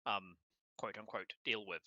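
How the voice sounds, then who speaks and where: Male tabletop player, low but very bright voice, brisk casual chat. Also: fairly even intonation, no echo, muffled recording.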